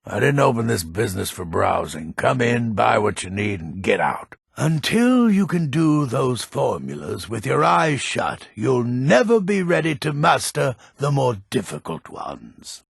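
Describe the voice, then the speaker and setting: An actor playing a grumpy, pessimistic old male alchemist and shopkeeper in a fantasy video game, curt and exasperated, with a gravelly rasp. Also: medium pitch, big pitch swings, unhurried, hardly any pauses, no room sound, clean studio sound, exaggerated voice. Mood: impatient, grumpy. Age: older. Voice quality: gravelly, rasping.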